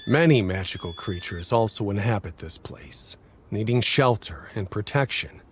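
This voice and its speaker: An actor playing a cautious, solemn werewolf leader in a game cutscene, with a husky voice and a measured, guarded delivery. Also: male voice, on the low side, animated, slight background noise, lightly acted. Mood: firm, regretful. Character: cautious, solemn male werewolf leader sheltering his people. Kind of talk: dramatic game cutscene acting.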